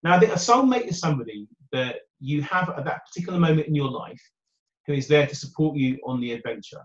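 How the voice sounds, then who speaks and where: A British man in a dating coach interview, with a loud voice and an upbeat, chatty way of talking. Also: a little high, animated, fast talker. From United Kingdom. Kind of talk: conversational interview answers. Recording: roomy, dull remote audio.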